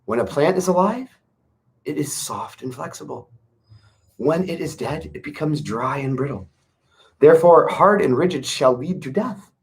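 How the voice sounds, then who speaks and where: A man slowly reading an old philosophical text aloud in a measured, pausing way, stopping now and then to add casual remarks of his own. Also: fairly high pitch, expressive. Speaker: reader of a classic text. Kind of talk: slow reflective reading with asides.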